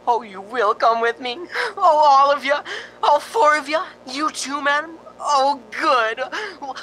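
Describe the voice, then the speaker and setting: A man's voice: takes it slowly, bright, forceful tone, exaggerated voice, poor audio. A man playing a frightened young radio host unraveling into madness, jittery and theatrical in a radio drama. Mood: manic. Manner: theatrical, jittery, stammering and giggling. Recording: faint rumbling background noise.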